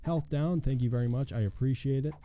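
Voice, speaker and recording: A young man with a hoarse voice commenting casually on his own gameplay, thinking aloud with a wry tone and muttering as he reads. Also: mid-range voice, brisk, mellow, slight background noise. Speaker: gaming channel host. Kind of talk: casual let's play commentary.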